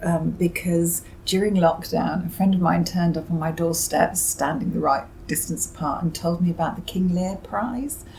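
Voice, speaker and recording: A woman's voice: lowish voice, normal speed, gravelly, few pauses, warm tone, slight background noise, poor audio. A middle-aged or older British woman giving thoughtful, somewhat hesitant interview answers as a novelist.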